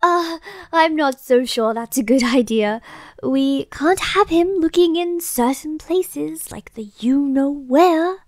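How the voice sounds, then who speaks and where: Woman doing fussy motherly enchanted castle servant, high voice, slow n flustered, audio drama. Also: no echo, clean studio sound. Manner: flustered, hesitant, proper.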